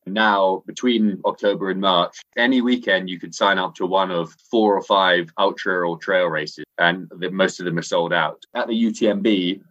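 Scottish man sounding English, very low voice, lively chatty guest, slightly muffled call audio. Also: normal speed, hardly any pauses. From Scotland. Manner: chatty, enthusiastic, frequent fillers. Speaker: interview guest, sports editor. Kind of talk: casual interview over internet call.

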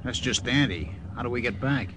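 Actor as a wisecracking American soldier, noisy recording. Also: male voice, medium pitch, a little fast, lightly acted. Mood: wry, sardonic. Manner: sardonic, blunt, slangy. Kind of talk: 1950s radio drama acting. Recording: noisy old radio recording.

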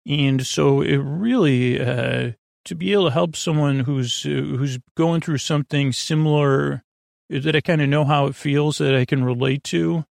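A man's voice: fairly high pitch, a little slow, gravelly, a little mellow, no room sound, clean studio sound. A man hosting a bedtime podcast, rambling in a friendly, meandering way, often trailing off and restarting. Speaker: podcast host.

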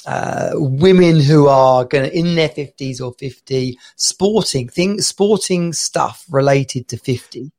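Male stream co-host, slightly higher voice with gravel, rambling casual banter. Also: a little mellow. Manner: rambling, chatty, laughs often. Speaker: podcast co-host. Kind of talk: casual live-stream chat.

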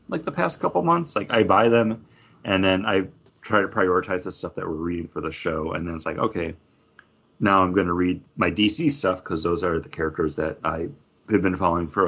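A low-voiced man with a husky edge talks casually as a podcast co-host. He rambles along in a conversational way. The recording is dull and lo-fi. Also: a bit bright. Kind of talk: casual chat about comics and beer.

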